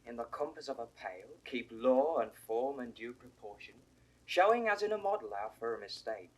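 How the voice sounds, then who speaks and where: A man's voice: medium pitch, expressive, moderate pace, breathy edge, poor audio. An actor in a 1960s Shakespeare audio drama playing a discontented, questioning gardener's assistant who declaims his lines. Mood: indignant questioning.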